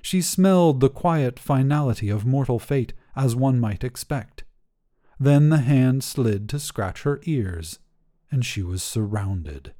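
Male fiction narrator: slow, dark-toned, measured, theatrical delivery. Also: mid-range voice, lively intonation. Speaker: story narrator. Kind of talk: scripted literary fiction narration.

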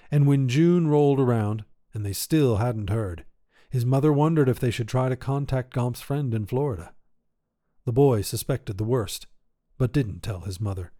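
A male narrator with a mid-pitched voice reads a piece of short fiction. He delivers it as scripted storytelling for a podcast that he hosts. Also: warm tone.

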